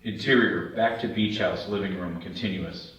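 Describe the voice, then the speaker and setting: A man's voice: low voice, moderate pace, fairly bright tone. A man reading stage directions as the narrator of a screenplay table read. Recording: very echoey room, distant, faint noise.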